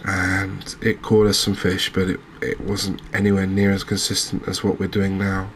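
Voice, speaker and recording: A man giving gentle, unhurried ASMR commentary over a cozy fishing game, with hesitant, understated phrasing. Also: sits low, completely flat delivery, a little hiss or hum. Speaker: host, video game streamer.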